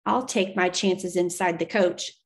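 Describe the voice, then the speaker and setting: Woman as older gentleman passenger, stubborn, stuffy, self-assured, storybook dialogue, measured pace, slight creak. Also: fairly low pitch, fairly even intonation.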